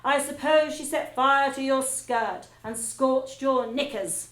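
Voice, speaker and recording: A woman voicing a bullying headmistress who barks her lines loudly and scornfully. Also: high voice, takes it slowly, echoey room, voice pushed up. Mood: scornful bellowing. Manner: loud, scornful barking. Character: bullying, barking female headmistress.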